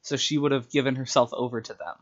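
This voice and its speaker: A man with a mid-pitched voice, caught on a dull, lo-fi recording. He talks casually as a storyteller, walking through plot ideas and briefly acting out what characters would say. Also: steady tone, fast. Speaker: storyteller and tabletop game player. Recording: dull, lo-fi sound.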